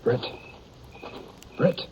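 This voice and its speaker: An actor playing an outlaw brother who follows along, in a 1950s radio western, with an American accent. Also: male voice, very slow. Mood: hushed, alert. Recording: muffled, noisy 1950s radio recording.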